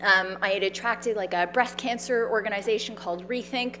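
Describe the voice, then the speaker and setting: Loud woman telling her story. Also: medium pitch, brisk, a little hiss or hum. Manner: animated, conversational, with fillers and quoted dialogue. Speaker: speaker sharing her story. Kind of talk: personal storytelling talk. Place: event stage.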